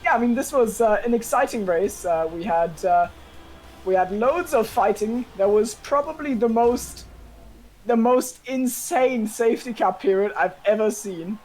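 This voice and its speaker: A woman's voice: fairly high pitch, measured pace, darkish tone, poor audio. A woman co-commentating an online racing league in a loose, chatty, self-mocking way, often laughing. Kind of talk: casual race commentary chat.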